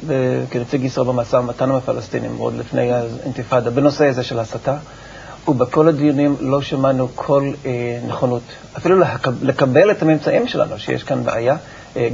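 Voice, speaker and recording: A creaky-voiced man answers as an expert guest on a TV interview. He explains in a halting, repetitive way, and the broadcast sound is dull with slight noise. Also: medium pitch, steady tone, darkish tone. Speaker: research institute director, interview guest. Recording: muffled, noisy TV broadcast recording.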